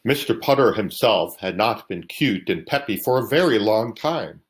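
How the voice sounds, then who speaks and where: Low-voiced man reading a bedtime story. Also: lively intonation, moderate pace. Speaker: storybook reader.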